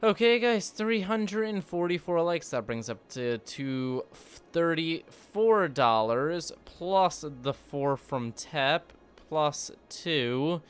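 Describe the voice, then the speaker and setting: A man's voice: fairly high pitch, slow, few pauses, clean tone. A man streaming a city-building game, chatting casually and joking with sarcasm. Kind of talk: casual gameplay commentary.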